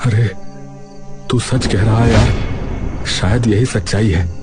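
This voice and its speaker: A man's voice: on the low side, steady tone, takes it slowly. A man voicing an earnest village man in a moral story, speaking in a dramatic, colloquial, exclamatory way. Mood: amazed. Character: earnest adult village men, friends sharing secrets. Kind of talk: Hindi moral story voice acting. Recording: clear music bed behind voice. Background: music.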